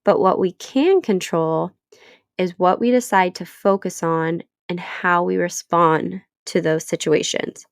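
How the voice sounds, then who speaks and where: Woman podcast host, thirties, stuffy from a cold, slow and earnest, dry close mic. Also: on the low side, vocal fry, clear, resonant tone, dry recording. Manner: earnest, encouraging, unhurried. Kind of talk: solo podcast talk.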